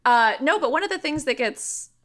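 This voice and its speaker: Bright-voiced American woman telling stories casually. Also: sits high, normal speed. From rural Missouri, United States. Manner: informal, animated, frequent "like", sweary. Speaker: podcast guest. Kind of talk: casual conversational storytelling and explaining.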